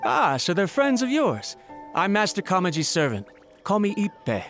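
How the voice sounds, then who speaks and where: A man's voice: high-pitched, wildly swooping pitch, normal speed, a bit bright, exaggerated voice. A man voicing a loyal, deferential young servant in a video game, polite and encouraging. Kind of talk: English video game voice acting. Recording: game music underneath.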